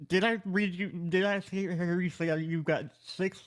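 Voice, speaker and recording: Guy hosting a podcast, kinda high voice, jokes around and laughs a lot. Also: steady tone. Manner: loose and jokey, quick interjections, laughs often. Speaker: podcast host, interviewer. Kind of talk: casual interview chat.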